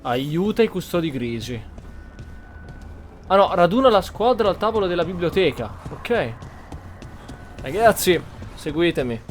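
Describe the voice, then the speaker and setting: A man's voice: high-pitched, very animated, moderate pace, long pauses, soft background music. An Italian-accented man giving roleplay commentary over a video game. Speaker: gaming channel host.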